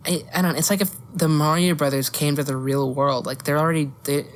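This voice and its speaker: Low-pitched woman, opinionated casual podcaster. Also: steady tone, a little fast, a little hiss or hum. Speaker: podcast co-host. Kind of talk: casual chat about movies and games.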